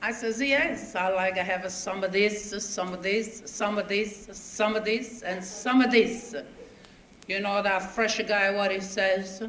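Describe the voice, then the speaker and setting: A woman's voice: mid-range voice, unhurried, a bit bright, lightly acted. A woman comedian playing a chatty Italian immigrant woman with a thick accent and broken English, telling indignant stories. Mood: indignant comic. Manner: indignant, storytelling, quoting others. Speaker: stand-up comedian. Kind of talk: comic character monologue. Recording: echoey stage with audience noise. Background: audience laughter.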